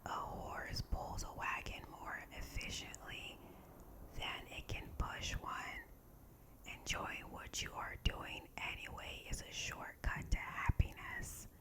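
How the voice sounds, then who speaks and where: Slowly whispering woman, ASMR reading. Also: poor audio. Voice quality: breathy unvoiced whisper. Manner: soft, slow, deliberate phrasing. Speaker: ASMR host reading aloud from a meditation book. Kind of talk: ASMR guided meditation reading.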